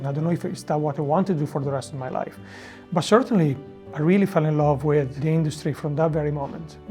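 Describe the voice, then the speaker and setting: A restaurant manager with an Italian accent, speaking in a halting, deliberate way in a reflective documentary interview. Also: medium pitch, a little mellow. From Italy.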